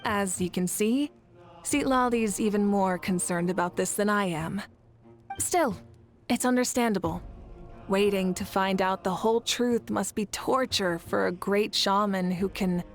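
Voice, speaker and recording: A woman voicing a calm, confident leader. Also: medium pitch, measured pace, natural voice. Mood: composed. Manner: calm, reassuring, self-assured. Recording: game audio over soft music.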